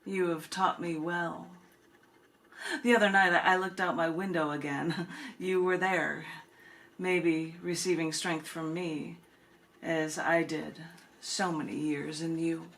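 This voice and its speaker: A woman hosting a poetry reading, speaking expressively and deliberately, with casual asides. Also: low voice, fairly even intonation, fairly bright tone. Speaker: poet and reading host. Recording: clearly noisy room recording.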